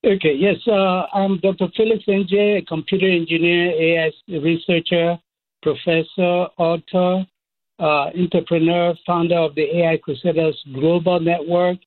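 A man with a Cameroonian accent explaining things as an expert interview guest, deliberately, listing points in short phrases. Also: high-pitched, flat delivery. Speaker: expert guest, professor and AI researcher. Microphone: phone call. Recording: muffled, thin phone line.

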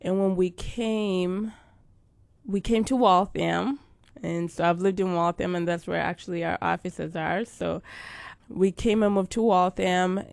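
Slow, gravelly-voiced woman from Uganda. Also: fairly low pitch, fairly even intonation, a little mellow, no room sound. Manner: slow, thoughtful storytelling with fillers. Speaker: guest. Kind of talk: interview about life and faith.

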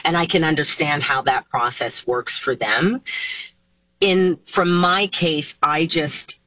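A woman, a singer taking part in an interview over a video call, answering casually with animated pitch swoops and many restarts. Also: slow pace, no echo. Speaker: singer, guest. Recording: dull, dry video call audio.

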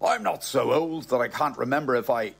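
A man's voice: mid-range voice, expressive, a little fast, gravelly, a bit bright, breathy edge, heavily acted. A man voicing a pompous, flustered elderly father with old-fashioned, theatrical exclamations in a comic audiobook story. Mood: indignant, flustered.

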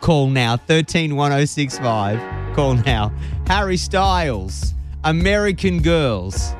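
An Australian man hosting an evening radio show in a studio, playful and teasing, with quick asides. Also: fairly high pitch, lively intonation, music in the background.

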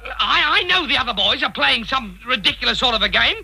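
A blustering schoolteacher who is forever flustered and stammers when he gets indignant. His voice is high and swoops widely, with a gravelly fry and a very bright tone. The delivery is old-time radio comedy. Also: expressive, a little fast, heavily acted, poor audio. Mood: exasperated. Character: flustered, stern male schoolteacher.

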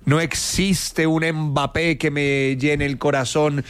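A man's voice: a little high, little pitch movement, slow pace, clear, resonant tone, dry recording. A man from Colombia co-hosting a football talk show, speaking with passion and a declaiming flair. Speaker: sports podcast co-host. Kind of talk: casual football chat.

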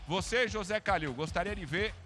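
A Brazilian man hosting a live sports broadcast, emphatic, with drawn-out hand-offs. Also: high voice, a bit bright. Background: music bed.

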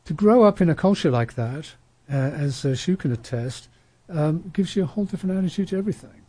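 Male panelist, mellow raspy tone, dry mic; thoughtful storyteller. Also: a little high, expressive, fairly quick, no echo. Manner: thoughtful, anecdotal, with frequent restarts. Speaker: panel discussion speaker. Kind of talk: reflective panel talk.

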